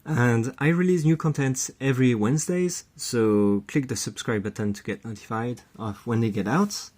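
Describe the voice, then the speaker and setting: A man giving casual, halting unboxing commentary as a host, murmuring to himself as he handles things, in a husky, gravelly voice. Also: medium pitch, a little mellow. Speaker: toy unboxing video host.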